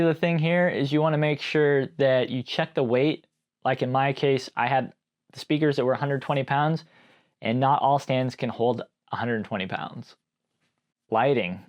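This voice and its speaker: A man giving a casual, conversational explainer on gear as an informal video host. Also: medium pitch. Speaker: host, live sound engineer.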